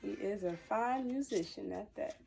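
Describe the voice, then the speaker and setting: A woman's voice: medium pitch, moderate pace, vocal fry, dark tone, soft background music. A woman hosting a children's storytime, reading a picture book expressively with playful, exclamatory asides. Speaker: storytime host.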